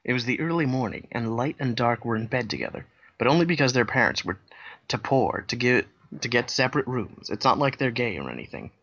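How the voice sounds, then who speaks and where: A man narrating fan fiction in a dry, deadpan comedic way, clearing his throat often. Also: mid-range voice, fairly quick. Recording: dull lo-fi sound.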